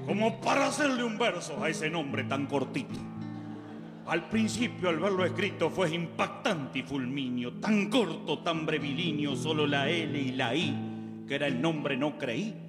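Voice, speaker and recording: An Argentine man recites comic gaucho verse in a slightly high voice, with theatrical storytelling. Long reverb surrounds him and guitar music plays clearly behind. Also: expressive, a little slow.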